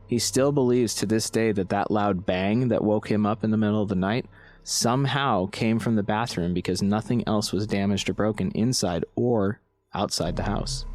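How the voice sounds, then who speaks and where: A man reads a story from a script as a narrator. His voice is on the low side. Music runs underneath his reading. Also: darkish tone. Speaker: story reader.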